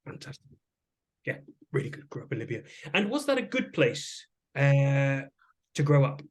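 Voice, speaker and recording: A man's voice: medium pitch, big pitch swings, normal speed. A man, an English teacher acting as examiner, asking mock speaking test questions and giving short, encouraging praise.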